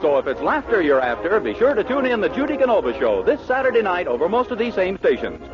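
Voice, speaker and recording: Male show announcer, 1940s radio, animated scripted read, dull old broadcast sound. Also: sits high, fast. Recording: muffled 1940s radio recording with music.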